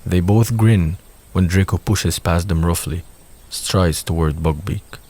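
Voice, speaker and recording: A man's voice: low voice, measured pace, warm tone, breathy edge. A man reading scripted narration for an audio drama.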